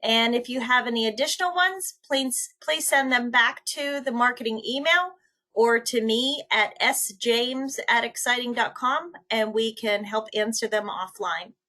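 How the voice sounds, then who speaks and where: A woman hosting a webinar, briskly wrapping up housekeeping and introducing the speaker. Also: on the high side, bright, forceful tone. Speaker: webinar host.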